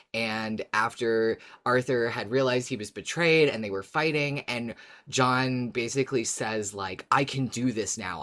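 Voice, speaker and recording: Medium-pitched podcast co-host, thoughtful and measured. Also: a bit bright. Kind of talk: casual analytical discussion.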